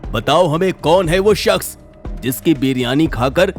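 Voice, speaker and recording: A man's voice: fairly high pitch, lively intonation, unhurried, faint music behind. A man playing a stern, authoritative king holding court in a cartoon story, formal and commanding. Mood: questioning.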